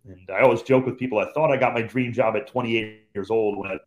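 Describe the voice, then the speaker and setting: Low-voiced man in his fifties reminiscing. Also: fairly even intonation, fast talker, a little hiss or hum. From Cleveland, Ohio, USA. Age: 50s. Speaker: radio play-by-play commentator, podcast guest. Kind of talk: relaxed storytelling in conversation.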